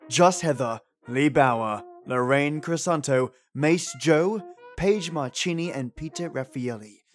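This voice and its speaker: A man hosting a podcast with chatty, playful, slightly theatrical commentary. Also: mid-range voice. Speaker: podcast host. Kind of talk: chatty commentary on old radio stories.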